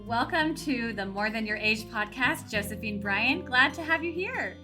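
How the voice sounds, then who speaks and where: A woman's voice: on the high side, lively intonation, normal speed. Woman podcast host with music behind. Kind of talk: warm-up scripted intro, then conversational interview.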